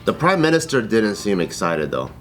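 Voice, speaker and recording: A man's voice: on the low side, big pitch swings, normal speed, fairly bright tone, faint music behind. A man cohosting a casual panel chat, telling stories and acting out other people's lines. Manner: storytelling with acted-out quotes. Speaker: co-host.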